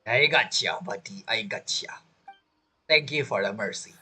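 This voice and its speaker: A man's voice: fairly low pitch, normal speed, pushed and bright, husky, soft background music. A young man doing casual, joking playthrough commentary, reacting aloud to a video game. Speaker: gaming streamer.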